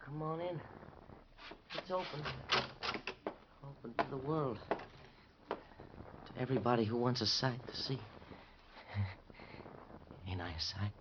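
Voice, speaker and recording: An actor playing a dying, streetwise American musician in a 1950s radio drama, slangy, bitter and fading. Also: male voice, medium pitch, slow, pauses often. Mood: fading, dreamy. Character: dying streetwise male trumpet player, bitter and dreamy. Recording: muffled, noisy old radio recording.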